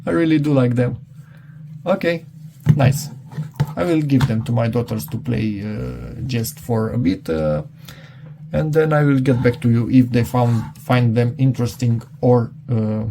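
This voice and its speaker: Gravelly, mellow man reviewing gadgets. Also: medium pitch, measured pace, slight background noise. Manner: hesitant, rambling, thinking aloud. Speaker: product reviewer. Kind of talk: casual unscripted product demonstration.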